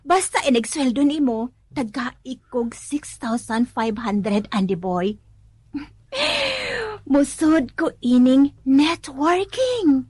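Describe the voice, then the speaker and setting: Woman playing eager filipino wife in a radio drama, high voice, gushy and coaxing, very up and down, dry sound. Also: big pitch swings, slow, a little mellow, husky, no echo, exaggerated voice. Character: eager, pleading wife keen on a beauty-product networking scheme.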